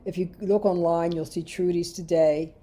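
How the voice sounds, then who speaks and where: Lady running a knitting call, low mellow voice, chatty, laughs a lot. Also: steady tone, moderate pace. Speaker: knitting designer and class leader. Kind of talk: casual call presentation and interview.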